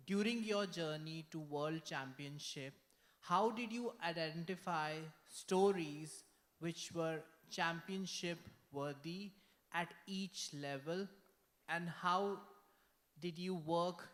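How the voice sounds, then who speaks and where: A woman in the audience, courteous and enthusiastic, greeting the speaker and asking a question. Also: sits low.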